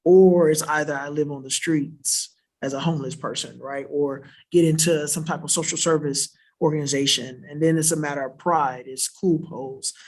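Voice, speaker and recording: A man's voice: fairly high pitch, steady tone, moderate pace. A man from South Florida on a church panel, answering an audience question in an earnest, explanatory way and often adding a questioning "right?" to his points. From South Florida, USA. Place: church worship center.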